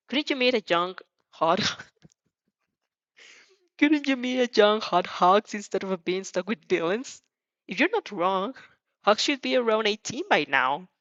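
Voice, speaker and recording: A woman narrating fan fiction in the second person, expressive and dramatic, now and then stumbling and rereading a line. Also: mid-range voice, no echo. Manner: expressive, stumbles over words and rereads lines. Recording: dull, muffled lo-fi sound.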